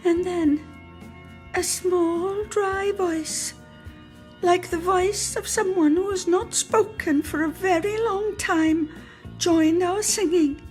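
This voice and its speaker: A woman giving a dramatic literary reading, measured and expressive, lingering on her phrases. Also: extremely high pitch, faint music behind. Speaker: dramatic reader.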